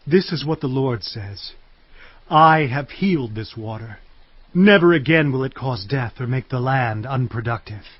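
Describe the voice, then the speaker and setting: A man's voice: medium pitch, expressive, measured pace, no echo. An actor playing a devoted younger male prophet in a dramatised audio scripture, earnest and declamatory. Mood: commanding. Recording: dull lo-fi sound, music underneath.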